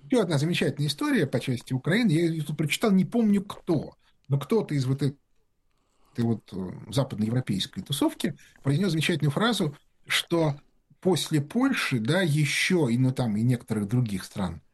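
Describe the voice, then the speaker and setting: Husky man telling lively anecdotes. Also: fairly high pitch, a little fast. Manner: animated anecdotal storytelling. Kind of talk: casual conversation.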